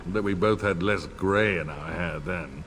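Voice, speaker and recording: An actor playing a middle-aged, grey-haired noble lord in a fantasy game, formal and courtly in a measured delivery. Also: male voice, deep voice, fairly bright tone. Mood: genial. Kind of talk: scripted fantasy game dialogue. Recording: long reverb, game music behind.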